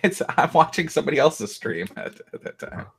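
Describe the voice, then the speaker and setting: A man's voice: on the high side, big pitch swings, a little fast, gravelly, a bit bright, husky. A man co-hosting a livestream, casually chatting and teasing the others with firm opinions.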